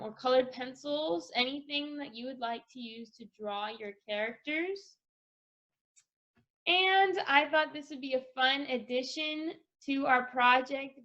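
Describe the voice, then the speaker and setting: A woman presenting a casual step-by-step craft tutorial for children, speaking in an instructional way with pauses between phrases. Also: sits high, a bit bright, muffled recording. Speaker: craft presenter for a children's read-aloud project.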